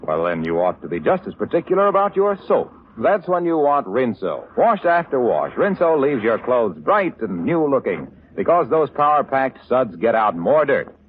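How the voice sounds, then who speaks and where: A man's voice: mid-range voice, big pitch swings, normal speed, hardly any pauses. A man giving scripted announcements and narration in a polished 1940s radio style. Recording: narrow-band noisy old radio broadcast.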